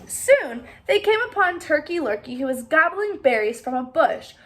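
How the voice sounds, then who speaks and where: A woman reading a children's story aloud in an expressive way, pausing to put questions to her young listeners. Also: high-pitched, a little hiss or hum.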